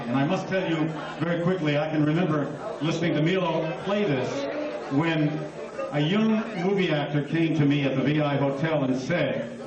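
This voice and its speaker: An older man announcing and reminiscing enthusiastically as emcee of a live concert. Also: on the high side. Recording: echoey live hall recording from far off. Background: audience crowd.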